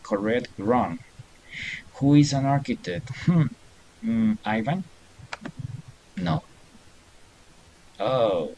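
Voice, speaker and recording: A man's voice: lowish voice, creaky, a little mellow, a little hiss or hum, low-quality recording. A middle-aged man teaching a beginner English class online, patiently encouraging students and reading answers aloud.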